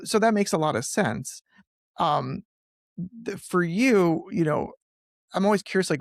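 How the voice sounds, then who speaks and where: A man hosting a conversational interview, often halting and rephrasing his questions as he goes. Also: a little high, gravelly, no room sound, clean studio sound. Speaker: podcast host and interviewer; film teacher.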